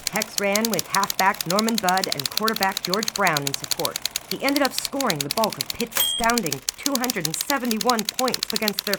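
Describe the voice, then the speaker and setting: A woman's voice: medium pitch, heavy background noise, close to an everyday voice. A woman playing an earnest young daughter who tells football history in a florid, dramatic way in an audio drama.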